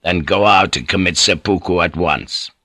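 A man voicing a cold, ruthless Japanese feudal warlord in an audiobook, curt, commanding and deliberate. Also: low voice, sharp tone, no echo, clean studio sound. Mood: cold accusation. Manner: curt, menacing, slow and deliberate. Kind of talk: dramatic audiobook character reading.

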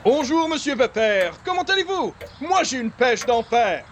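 A man's voice: very high voice, very animated, normal speed, a bit bright, slight background noise, exaggerated voice. A man playing a breezy, exuberant, teasing pal in a radio comedy, with a bouncy, familiar way of talking. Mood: cheeky. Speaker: actor in an audio comedy.

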